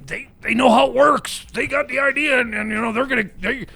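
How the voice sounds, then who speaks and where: A man playing a jittery, rambling eccentric sidekick in a radio comedy skit, stammering and repeating words in a creaky voice. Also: very high voice, fairly quick, sharp tone, full character voice. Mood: alarmed, insistent. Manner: stammering, agitated, repetitive. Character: jittery, rambling eccentric male time-machine tinkerer sidekick.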